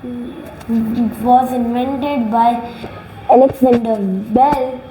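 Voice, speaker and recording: High-voiced woman student repeating sentences. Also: little pitch movement, extremely slow, warm tone, roomy sound, a little hiss or hum, low-quality recording. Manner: careful repetition with small slips.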